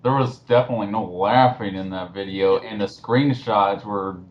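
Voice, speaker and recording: A man's voice: fairly low pitch, fairly even intonation, moderate pace, a little hiss or hum. A man chatting casually and mockingly as a co-commentator, snide and blunt, on a lo-fi voice-chat recording.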